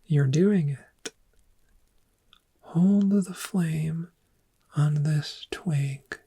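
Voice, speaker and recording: A woman playing a gentle, patient dragon therapist in a soft-spoken ASMR roleplay, with a breathy, whispery, measured and reassuring delivery. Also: low-pitched, full character voice. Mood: soothing, encouraging.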